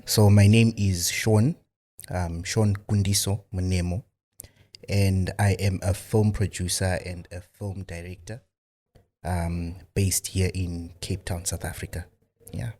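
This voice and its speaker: A man from Zimbabwe with a very low, deep voice talks about his work in a studio interview. He speaks casually, hesitating often, circling back with "um" and repeated words as he finds his way. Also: little pitch movement, slow pace, dark tone. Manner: hesitant, many fillers and restarts. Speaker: guest filmmaker.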